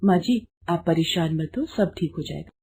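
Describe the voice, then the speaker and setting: Woman as a gentle, dutiful young daughter-in-law, mellow. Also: on the low side, lively intonation, normal speed, slight background noise. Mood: soothing. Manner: gentle, soothing, respectful.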